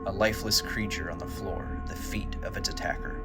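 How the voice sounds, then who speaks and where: Male narrator for audio drama, lower register, measured dramatic storytelling, scripted read over prominent music. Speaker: narrator, writer and director.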